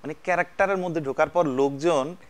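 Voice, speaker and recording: A man's voice: fairly high pitch, fast. Hesitant Bangladeshi man hosting a chat. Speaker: TV talk show host. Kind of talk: conversational celebrity interview.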